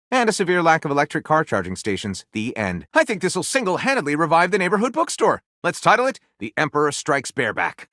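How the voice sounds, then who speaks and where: A man's voice: mid-range voice, a bit bright, no echo, studio quality. A man voicing a theatrical, wry storyteller and snide critic in a video game, mock-grandiose and sardonic, as if narrating a storybook. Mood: gushing, excited. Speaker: voice actor.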